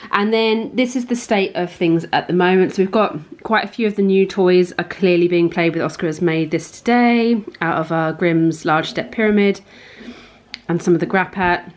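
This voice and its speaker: Casual chatty woman giving a home tour. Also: fairly low pitch. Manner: informal, chatty explaining. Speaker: parenting vlogger.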